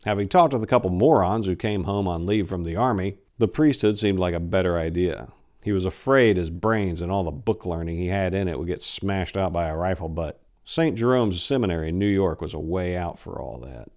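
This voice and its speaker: A man in his forties reading a story aloud in a theatrical, deliberate, scripted narrating style. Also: sits low, a little mellow. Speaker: host, author reading own short story. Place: indoors, book-lined room. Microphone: lapel mic.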